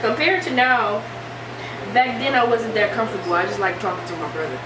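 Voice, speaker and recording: Young woman, higher-pitched voice, casual animated storyteller, recorded from across an echoey room. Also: a little fast. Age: young adult. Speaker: vlogger. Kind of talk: casual chat. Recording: distant mic, echoey room, steady hiss.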